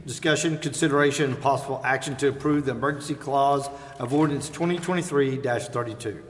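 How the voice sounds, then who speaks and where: A man's voice: medium pitch, fairly quick. An American man formally reading out agenda items as chair of a public meeting in a council chamber. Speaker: meeting chair, presiding official. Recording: echoey council chamber, clear background noise.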